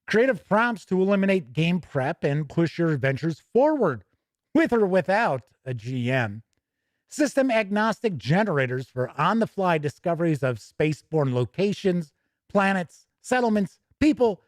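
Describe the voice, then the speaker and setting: A man's voice: a little high, no echo, clean studio sound. A man hosting a tabletop gaming news show, chatting casually between read-out product copy, with self-correcting, rambling asides.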